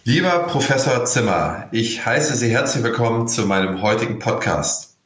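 A man's voice: mid-range voice, expressive, moderate pace, fairly bright tone, echoey room, faint music behind. A man hosting a podcast, introducing his guest and asking formal, earnest questions. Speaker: podcast host. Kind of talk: podcast interview.

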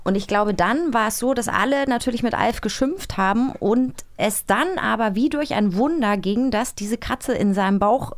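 A woman's voice: on the high side, moderate pace, hardly any pauses. A woman hosting in casual, improvised chat, telling things playfully with mock certainty. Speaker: host.

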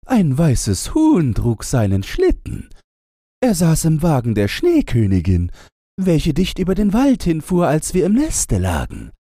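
Storybook part: two cooing, chattering wood pigeons, raised and loud, as a male reader puts them on. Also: a little high, wildly swooping pitch, a little slow, no echo, studio quality, pitched above the performer's own voice, heavily acted.

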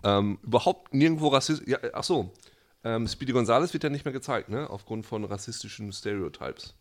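A man's voice: mid-range voice, a little fast. A man co-hosting a casual podcast chat, rambling with lots of fillers and restarts, and laughing easily. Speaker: podcast co-host.

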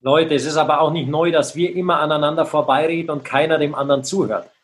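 A man's voice: a little high, steady tone, quick pace. A man co-hosting a podcast, throwing in wry, joking remarks in casual banter. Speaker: podcast co-host.

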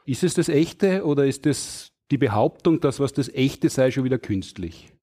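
Man, podcast host, live stage, quick, provocative. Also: medium pitch, animated, warm tone. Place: stage before a live audience.

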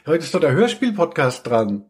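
A man's voice: on the high side, sing-song, normal speed, slightly rough. A man co-hosting a casual comedic podcast in a playful, tongue-in-cheek way. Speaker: podcast co-host.

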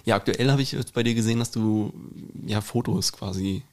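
A man's voice: on the low side, brisk, darkish tone. A man co-hosting a casual podcast chat, talking in a rambling, wry way. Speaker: podcast co-host.

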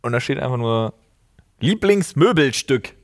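A man's voice: mid-range voice, lively intonation, measured pace. A man in his thirties co-hosting a podcast in casual, slangy banter. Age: 30s. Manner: slangy, laid-back banter. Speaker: podcast co-host. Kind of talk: casual chat.

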